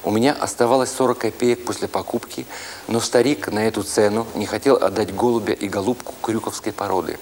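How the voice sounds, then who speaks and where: Low-voiced man narrating a literary story. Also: fairly even intonation, fairly quick, a little mellow, slight background noise. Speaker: narrator, actor reading an audiobook.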